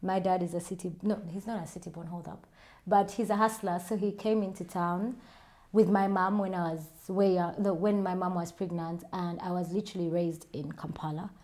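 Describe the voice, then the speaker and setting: A woman's voice: fairly low pitch, fairly even intonation, dark tone. Chatty Ugandan woman vlogger. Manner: chatty, self-assured, quick laughs. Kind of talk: casual chat answering viewer questions.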